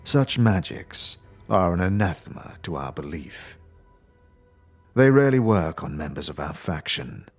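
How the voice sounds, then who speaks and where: A man as a low, stern death-cult officiant. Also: sing-song, a little slow, faint music behind, full character voice. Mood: solemn, stern. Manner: solemn, ceremonial, formal. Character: stern, ritual-bound official of a death-worshipping faction.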